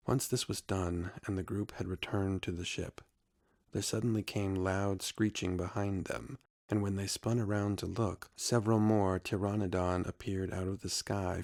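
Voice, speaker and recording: A man's voice: sits low, gravelly, darkish tone. A man narrating a scripted adventure story.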